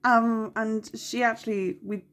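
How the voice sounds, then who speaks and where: A woman's voice: a little high, fairly even intonation, unhurried, a bit bright. Chatty woman with a cold. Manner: chatty, rambling, many ums, apologetic. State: congested with a cold, nasal. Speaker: budgeting vlogger. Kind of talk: casual chat to camera.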